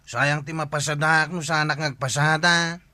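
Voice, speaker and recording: Put-upon driver, bright voice. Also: male voice, a little high, fairly even intonation, moderate pace, no echo. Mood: protesting. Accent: Ilocano. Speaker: actor in a radio comedy drama. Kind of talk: Ilocano radio comedy drama acting.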